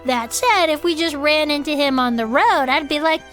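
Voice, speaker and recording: A woman's voice: sits high, normal speed, fairly bright tone, soft background music. A woman playing a cheerful, food-loving chef who co-hosts a cryptid club, chatting earnestly in a comedic audio fiction show. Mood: playful, enthusiastic.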